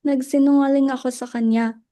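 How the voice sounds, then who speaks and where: A woman acting a guarded, emotional widow in a dramatic reading, halting and defensive as she speaks. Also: high-pitched, steady tone, dark tone, lightly acted. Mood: grieving, confessional. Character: Luella, guarded, emotional widow defending her dead husband.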